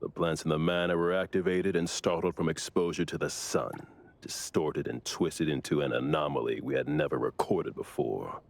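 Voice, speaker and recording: Very deep, gravelly, stern investigator. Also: male voice, no echo. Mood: serious briefing. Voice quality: gravelly low voice. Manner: measured, deadpan, formal. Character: stern male colleague, formal, dryly disapproving investigator. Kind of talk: anime video game voice acting.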